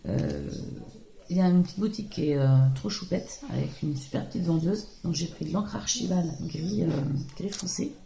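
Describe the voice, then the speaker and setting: A woman hoarse from a cold talks in a loose, rambling way, full of hesitations. Her voice sits fairly low and has a gravelly rasp. The home recording has a clear layer of background noise. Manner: chatty, rambling, hesitant with many fillers. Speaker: craft video host. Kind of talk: casual show-and-tell haul.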